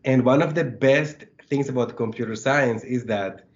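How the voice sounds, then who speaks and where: A man's voice: mid-range voice, normal speed. A man from El Salvador, a guest on an interview show, talking about his teaching in a friendly, articulate and enthusiastic way. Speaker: guest, computer science instructor. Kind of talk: casual interview. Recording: dull lo-fi audio.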